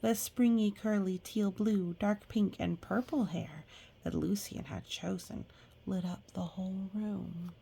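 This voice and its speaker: Husky, unhurried woman reading a storybook aloud. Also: mid-range voice. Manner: expressive, exclaiming and gasping in reaction to pictures; gentle explaining to young children. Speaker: host reading a picture book aloud. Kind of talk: storybook read-aloud with chatty asides.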